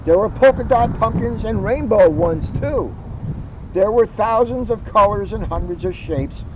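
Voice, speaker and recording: A man with a notably high voice reads and talks to children in an expressive, deliberate storyteller's way, stretching the ends of phrases. He is recorded outdoors, and the sound is dull with faint background noise. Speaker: police officer reading to children in a community video series. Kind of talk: picture-book read-aloud with informal chat. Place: outdoors at a garden center. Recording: dull, noisy outdoor audio.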